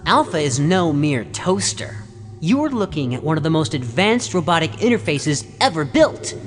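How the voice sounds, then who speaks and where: A woman's voice: fairly low pitch, fairly bright tone. A woman voicing a smug, boastful boy prankster villain in a cartoon computer game, theatrical and gloating.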